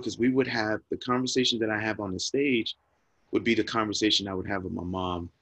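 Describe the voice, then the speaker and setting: Man, lowish voice, earnest, emotional, dry close recording. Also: vocal fry, a bit bright, husky, dry recording. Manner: earnest, reflective, repeats words when moved. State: emotional, near tears. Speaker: guest speaker. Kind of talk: emotional personal storytelling.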